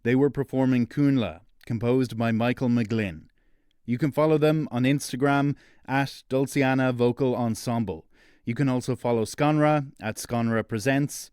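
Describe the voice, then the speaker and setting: Clear male podcast announcer. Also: medium pitch, moderate pace, darkish tone, no room sound, studio quality. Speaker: podcast announcer reading credits. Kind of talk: scripted narration.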